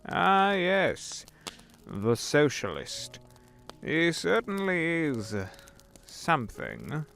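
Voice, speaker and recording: An actor playing an ancient, suave devil posing as a gentleman, genteel and condescending in an audio drama. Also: a little high, wildly swooping pitch, drawn-out words, creaky, soft background music, heavily acted. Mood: genial. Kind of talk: fan audio drama acting.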